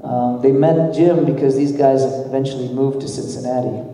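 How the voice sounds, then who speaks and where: Band leader, forties, slight Nepali accent; easygoing, halting stage chat, distant and roomy. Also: male voice, mid-range voice. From grew up in Nepal. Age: 40s. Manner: relaxed, halting, many fillers. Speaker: band leader introducing the group. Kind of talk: casual stage talk to a congregation. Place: large church hall. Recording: echoey hall from far off.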